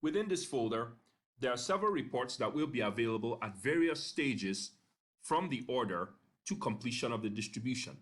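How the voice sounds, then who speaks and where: A man narrating an instructional screencast in a measured, step-by-step way, pausing briefly between phrases. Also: mid-range voice, expressive, fairly bright tone. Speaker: software tutorial narrator.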